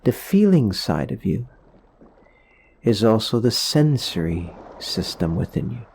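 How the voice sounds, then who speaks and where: A man leading a guided meditation in a soothing, gentle, explanatory way, leaving pauses between phrases. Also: on the low side, big pitch swings, vocal fry, husky.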